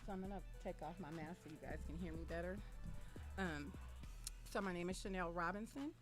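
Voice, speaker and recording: A woman from Los Angeles with a slightly low voice talks casually as a guest author on a panel. Music plays clearly behind her. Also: a little fast.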